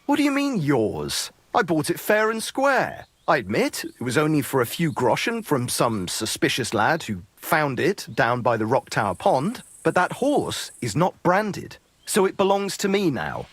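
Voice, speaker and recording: An actor playing a blunt, sardonic medieval horse trader with a husky voice in a video game. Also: male voice, fairly high pitch, sing-song, measured pace, hardly any pauses, no echo, exaggerated voice. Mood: defensive. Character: gruff, hard-bargaining medieval horse trader, man. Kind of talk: video game dialogue acting.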